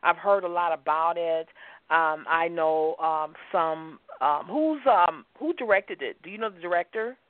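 A woman's voice: fairly low pitch, a little slow, no echo. A woman hosting an interview, asking questions eagerly and hunting for words with plenty of "um"s. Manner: enthusiastic, searching for words with many "um"s. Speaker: host. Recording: tinny phone-like sound, dry.

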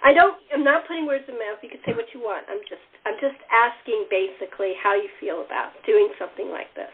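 A woman hosting a casual cast interview, speaking informally and haltingly as she rephrases her questions. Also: medium pitch, slight background noise. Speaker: interviewer and fellow cast member. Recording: tinny, phone-like narrow band.

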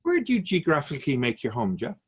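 A man playing an otherworldly, patient keeper of souls in a radio drama, asking measured, probing questions in a husky voice. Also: a little high, huge pitch swings, exaggerated voice. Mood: calmly instructive.

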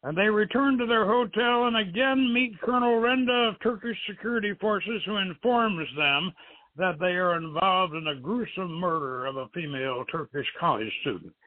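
Guy hosting a radio story hour, kinda high voice, muffled lo-fi phone-like sound. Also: normal speed. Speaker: show host and novelist reading own story. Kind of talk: radio show introduction and dramatic reading. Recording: muffled narrow-band internet radio sound.

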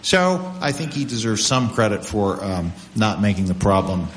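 Reverberant hall, man, lowish pitch, panelist, unscripted explanation, conversational, halting, repeated words. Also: lively intonation, slight background noise. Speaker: panelist taking audience questions.

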